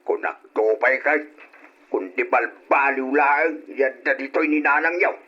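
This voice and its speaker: A lo-fi radio drama recording of a kindly grandfather. His voice is husky yet forceful, and he speaks slowly with elderly concern and sighing counsel. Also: mid-range voice. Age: older. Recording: dull, lo-fi old radio recording.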